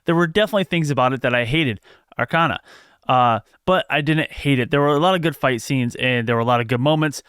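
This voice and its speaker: Male video host, conversational and opinionated, smooth clear voice, dry close sound. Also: mid-range voice, fast talker, fairly bright tone, no room sound. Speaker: video host giving movie news. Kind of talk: casual news commentary with opinions.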